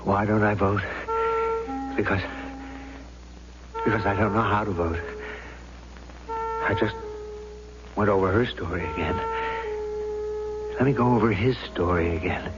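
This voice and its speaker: A man's voice: fairly low pitch, unhurried, pauses often, lightly acted. An actor playing an anxious, indecisive juror in a radio drama, hesitant and brooding, thinking his way through doubts. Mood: brooding, troubled. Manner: hesitant, introspective. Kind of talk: radio drama acting with inner monologue. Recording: dull old radio recording, music behind.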